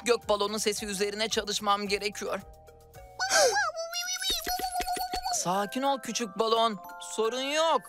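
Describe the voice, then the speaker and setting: A woman's voice: mid-range voice, normal speed, natural voice. A woman voicing an eager, helpful magical guide in a children's cartoon dub, explaining things expressively. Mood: anxious, soothing. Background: cartoon music.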